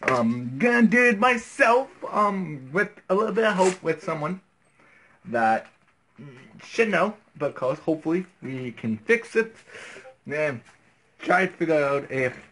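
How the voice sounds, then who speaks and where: A young man in his twenties with a bright, forward voice talks in a casual vlog style. He rambles haltingly, repeating himself and drifting into vague asides. It is recorded on a phone at arm's length with faint background noise. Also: fairly high pitch, big pitch swings. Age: 20s. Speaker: vlogger.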